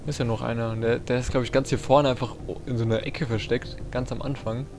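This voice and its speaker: A young man giving casual, excitable video game commentary, talking to himself with playful exclamations. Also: mid-range voice, a little fast, over music. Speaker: let's play host.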